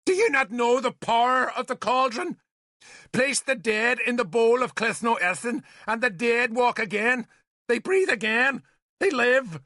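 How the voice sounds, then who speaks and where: Man acting a shrill, fierce pagan priestess. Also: takes it slowly, vocal fry, sharp tone, dry recording, clean studio sound, exaggerated voice. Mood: sneering, menacing. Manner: shrill, screaming, sneering, belligerent. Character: fierce, shrieking, fanatical young pagan priestess woman. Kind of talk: dramatic audiobook character voice.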